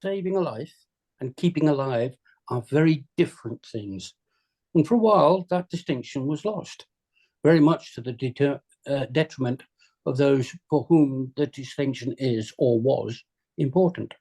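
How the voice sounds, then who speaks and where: A man reading a measured woman politician. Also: mid-range voice, lively intonation, warm tone. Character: composed woman health secretary in her fifties. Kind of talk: script read-through.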